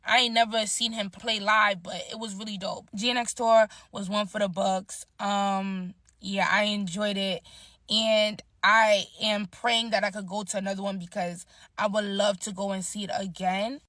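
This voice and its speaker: A woman chatting in a slangy, animated way as she recaps a concert in a casual vlog. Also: medium pitch, moderate pace, dry recording, clean studio sound. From Nigerian heritage.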